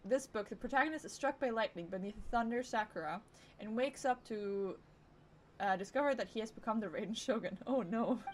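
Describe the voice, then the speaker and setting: Higher-voiced woman streamer, non-native English. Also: a little fast, a little hiss or hum. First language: not English. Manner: playful, self-interrupting. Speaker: game streamer. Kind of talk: live game commentary and reading dialogue aloud. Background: video game music.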